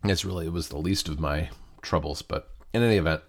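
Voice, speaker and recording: A man's voice: low voice, quick pace. A man co-hosting a podcast, talking in an easygoing, conversational way with some ums, stuffed up from a cold. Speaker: podcast co-host. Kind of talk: casual podcast chat.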